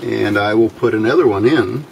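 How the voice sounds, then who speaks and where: A man giving an unscripted hands-on electronics demonstration in a measured, explanatory way, pausing between short phrases. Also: fairly low pitch, vocal fry, poor audio. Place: indoors at a workbench. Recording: steady background room noise.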